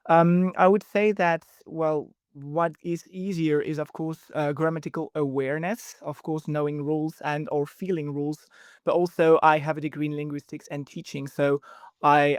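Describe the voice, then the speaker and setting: A young adult man from Belgium, a native French speaker, explaining things in a measured way with many pauses as a podcast guest. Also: on the high side, clean tone, no room sound, clean studio sound. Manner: measured, explanatory, with frequent ums. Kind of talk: podcast interview.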